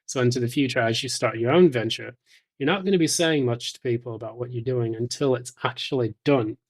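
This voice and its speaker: Male tarot reader, mid-range voice, unscripted and explanatory, measured delivery that pauses often between thoughts. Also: medium pitch. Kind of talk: intuitive tarot reading, addressed to the listener.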